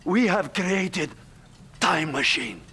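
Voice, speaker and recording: A man's voice: sits high, lively intonation, slow, sharp tone, slight background noise, exaggerated voice. An actor playing a frantic male scientist defector, pleading urgently in a dramatic video game cutscene. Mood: desperate.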